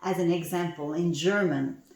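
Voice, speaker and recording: Woman coach, lowish voice, teaching through personal stories, mic set back in a roomy space. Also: moderate pace, darkish tone. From Canada. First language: French. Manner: coaching tone, tells personal stories, poses questions to the audience. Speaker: communication coach. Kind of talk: online conference presentation. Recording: roomy sound from a distant mic.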